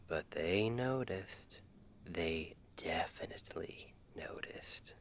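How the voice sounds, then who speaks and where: A man playing a tender, playful boyfriend in an ASMR roleplay, speaking in a gentle, intimate half-whisper to soothe his partner to sleep. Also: low voice, lively intonation, takes it slowly, creaky, exaggerated voice. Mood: soft, playful storytelling. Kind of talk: ASMR roleplay, soft bedtime storytelling.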